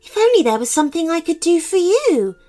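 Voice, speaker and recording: A woman's voice: big pitch swings, a little fast, warm tone, soft background music. A woman voicing a polite, plaintive, whiny unicorn in a children's story. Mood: grateful. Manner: whiny, high. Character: troubled, polite, grateful unicorn with a plaintive whine.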